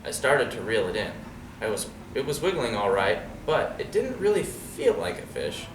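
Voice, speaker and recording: A man reads a children's story aloud with a very lively, swinging pitch and casual asides to his young listeners. He sounds set back from the microphone in an echoey room. There is clear background noise around his voice. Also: moderate pace. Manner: conversational, checks in with young listeners using questions. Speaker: storyteller reading a picture book aloud to children. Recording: roomy, distant mic with clear background noise.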